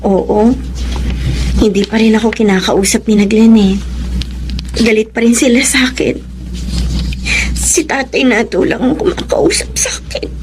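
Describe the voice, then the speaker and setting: Actress as high-voiced, heartfelt young older sister. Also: female voice, fairly even intonation, unhurried, pauses often, noisy background, close to an everyday voice. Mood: sad, tender. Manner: emotional, heartfelt. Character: devoted, guilt-ridden older sister, loving and grateful. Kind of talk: Tagalog radio drama acting.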